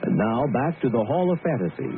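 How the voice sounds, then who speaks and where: Quick, swooping male radio announcer, noisy. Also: mid-range voice, sing-song. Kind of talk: scripted broadcast continuity. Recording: noisy narrow-band radio broadcast.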